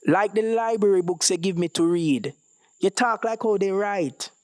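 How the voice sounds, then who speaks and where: A man voicing a curious, excitable young schoolboy who questions and exclaims in a story reading. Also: high voice, moderate pace, dry recording, clean studio sound, voice pushed up, lightly acted. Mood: protesting.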